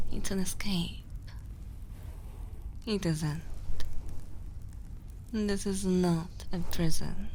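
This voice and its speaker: Woman playing a slow, whispery, dominant evil queen. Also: lowish voice, darkish tone, slight background noise. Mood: reassuring, firm. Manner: slow, deliberate, formal phrasing, breathy whispering. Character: dominant evil queen, motherly, seductive and possessive. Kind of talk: ASMR roleplay, soft and intimate.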